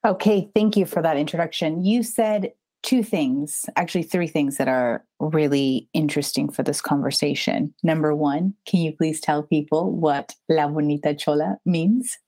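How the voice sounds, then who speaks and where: Low, warm-toned woman interviewer. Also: steady tone, moderate pace, hardly any pauses. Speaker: interviewer, host. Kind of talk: interview questions.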